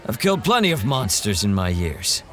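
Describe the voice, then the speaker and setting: An actor playing a wry, seasoned monster hunter who talks calmly and drily. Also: male voice, on the low side, faint music behind. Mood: recounting. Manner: calm, dry and unhurried, lightly mocking. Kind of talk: audio drama acting.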